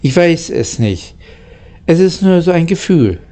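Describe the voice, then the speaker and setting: A man voicing a kindly, thoughtful adult engine driver in a storybook, measured and reassuring. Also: fairly high pitch, very animated, mellow, voice pushed up. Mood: gentle, uncertain. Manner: measured, comforting.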